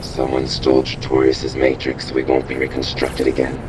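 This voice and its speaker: A man playing a grave, commanding robot mission controller in a video game, terse and deadpan as he gives briefings. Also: very deep voice, fairly quick, very noisy. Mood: urgent briefing.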